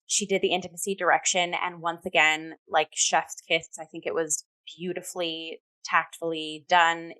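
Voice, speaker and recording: A woman's voice: on the low side, flat delivery, normal speed, hardly any pauses, sharp tone, rough recording. A woman co-hosting a theatre review show, talking casually and enthusiastically and peppering her speech with "like".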